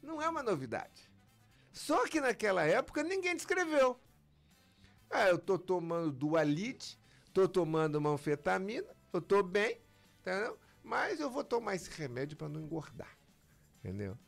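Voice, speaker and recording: Lively gravelly man explaining. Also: sits high, moderate pace, soft background music. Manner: lively, emphatic, acts out patients' lines. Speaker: physician guest. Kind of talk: explanatory interview talk.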